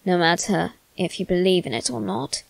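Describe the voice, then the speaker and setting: A woman voicing a condescending immortal demon boy in an ASMR roleplay, speaking softly with a teasing, smug manner. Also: fairly low pitch, moderate pace, vocal fry, dry recording, poor audio. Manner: soft-spoken, teasing and condescending.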